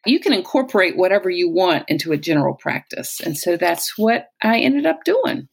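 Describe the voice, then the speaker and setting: An American woman in her sixties with a slightly low voice tells stories from her own life. She talks in a conversational, anecdotal way, slipping into the words of people she has known. It sounds like a personal interview with someone raised in Southwest Virginia. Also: very animated, normal speed, gravelly, husky. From Southwest Virginia, United States. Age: 60s. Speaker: interviewee, retired-age physician and poet.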